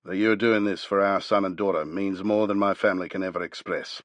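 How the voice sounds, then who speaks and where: A man's voice: deep voice, steady tone, no room sound, natural voice. A man reading aloud, voicing a reserved old male warrior speaking solemnly. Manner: measured, restrained.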